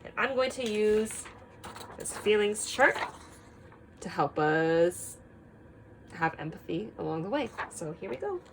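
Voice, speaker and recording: A woman teaching young children through an expressive read-aloud, prompting them with frequent simple questions. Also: medium pitch, a little slow, gravelly, pauses often, background noise.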